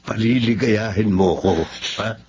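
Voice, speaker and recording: A man's voice: on the low side, a little slow. A man playing a selfish, possessive lover, an arrogant and taunting villain in a Tagalog radio drama, with a Filipino accent. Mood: menacing, possessive. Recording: dull lo-fi audio, noisy ambience.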